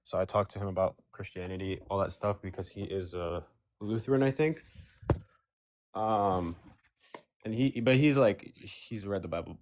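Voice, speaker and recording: Young male caller, low voice, rambly, full of fillers. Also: normal speed, darkish tone, slight background noise. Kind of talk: casual chat.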